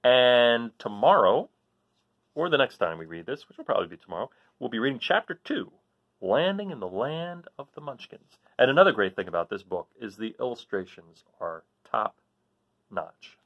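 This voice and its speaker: An American man in his forties from New Jersey, chatting casually and haltingly as he explains things to children. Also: medium pitch, big pitch swings, highs cut off. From New Jersey, United States. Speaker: read-aloud host. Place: small living room, seated in an armchair.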